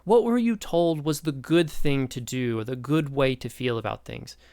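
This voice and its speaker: Sociology lecturer, male, 30s, Midwestern accent, mid-pitched voice, keen and conversational. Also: moderate pace, mellow, clean tone, no echo, studio quality. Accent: Midwestern American. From East Tennessee, Appalachia. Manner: enthusiastic, chatty, asks the listener questions. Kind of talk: recorded online lecture.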